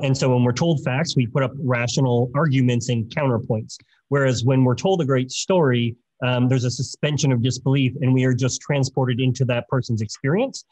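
A man's voice: medium pitch, darkish tone, no echo, low-quality recording. A man talking conversationally as a storyteller on a video panel. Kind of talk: conversational panel talk with anecdote. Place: indoor room on a video call.

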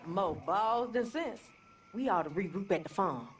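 A woman's voice: medium pitch, animated, a little slow, noisy background, close to an everyday voice. A woman playing a bold, cocky young rebel driver in a video game, brash and swaggering, with an American accent. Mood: cocky banter. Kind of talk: video game cutscene acting. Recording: distant game audio with music.

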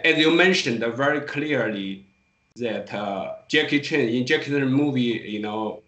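A man's voice: medium pitch, measured pace, fairly bright tone, muffled recording. A man in his thirties, a kung fu teacher with a Chinese accent, speaking English hesitantly on a video call. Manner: hesitant, searching for words. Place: small room indoors. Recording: echoey room on a video call.

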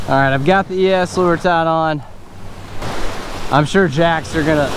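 Young man, fishing vlogger, fairly high voice, chatty self-narration on a beach, clear wave noise behind. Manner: excited, talking to himself between casts. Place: open beach at the surf line, outdoors. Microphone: wearable action camera. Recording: outdoor wind and surf noise. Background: surf and waves.

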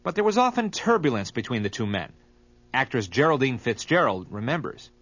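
A man's voice: medium pitch, big pitch swings, fairly quick, a bit bright. A man reading scripted narration as the narrator of a documentary. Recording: slightly dull, lo-fi audio.

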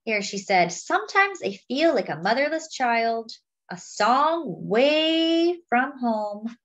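A woman reading a children's picture book aloud as a story-time host, with deliberate phrasing for young listeners. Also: a little high, very animated, lo-fi sound.

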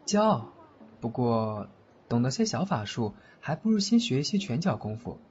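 A man's voice: mid-range voice, very animated, moderate pace, darkish tone, a little hiss or hum, natural voice. A man voicing a teasing godfather who knows minor magic, in an audio drama. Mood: teasing, stern. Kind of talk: Chinese audio drama acting. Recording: dull lo-fi fan drama audio.

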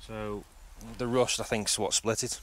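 A man's voice: on the low side, steady tone, moderate pace. Curious man vlogging in woods. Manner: chatty, curious, thinking aloud. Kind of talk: casual outdoor exploring vlog. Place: outdoors in a forest. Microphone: phone held at arm's length.

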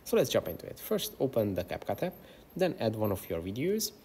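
A man hosting a video tutorial, narrating software steps to his viewers. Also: on the low side, huge pitch swings, a little fast, slight background noise.

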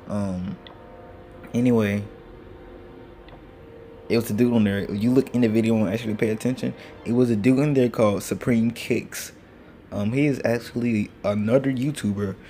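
A man's voice: on the low side, mellow, a little hiss or hum. A teenage boy casually rambling through commentary over a mobile game, with lots of fillers, recorded on a phone at home. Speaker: gaming channel host. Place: home with train passing outside. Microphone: phone screen recorder.

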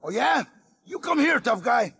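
An actor playing a hot-headed, macho young Cuban gang boss in a video game, with a strained raspy voice, a Cuban accent and blustering talk sprinkled with Spanish. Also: male voice, very high voice, big pitch swings, unhurried, bright, forceful tone, full character voice. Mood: aggressive, taunting. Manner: blustering, boastful, peppers English with Spanish. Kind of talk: video game cutscene acting.

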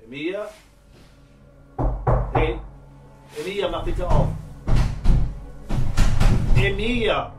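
A man playing a frantic, gruffly caring middle-aged man in an audio drama, urgent and in short bursts. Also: high voice, slow pace, long pauses. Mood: panicked. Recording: echoey room, loud music behind.

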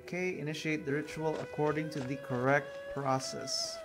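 A woman giving wry, relaxed gameplay commentary and reading dialogue choices aloud. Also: deep voice, slow. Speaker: gamer, video creator. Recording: game music behind voice.

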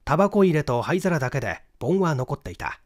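Animated male narrator of a mystery story. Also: a little high, a little fast, dry recording, studio quality. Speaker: audiobook narrator, stage actor.

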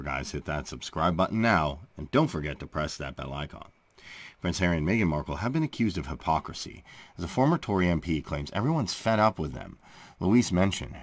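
Deep-voiced male news narrator, very lively pitch. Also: dry recording. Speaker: host of a royal news channel. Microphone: close voice-over microphone.

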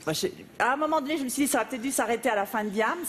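A woman's voice: a little high, racing, in a large hall, slight background noise. A woman in her fifties with a Belgian French accent, a jury member gushing in stumbling feedback on a TV show. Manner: gushing, stumbling over words. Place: large TV studio with audience. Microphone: headset mic.

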